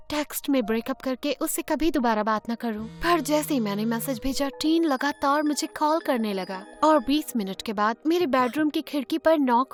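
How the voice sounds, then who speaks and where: A young woman playing an awkward, earnest teenage schoolgirl telling her own animated story in an expressive, conversational way. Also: high-pitched. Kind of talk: dramatised first-person animated story narration. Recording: music behind the voice.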